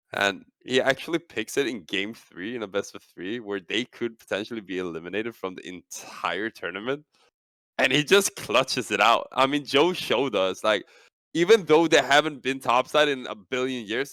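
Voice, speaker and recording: A man casting esports in a casual, energetic post-game chat. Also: mid-range voice, no echo. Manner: energetic, excitable, loud sign-offs. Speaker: esports caster.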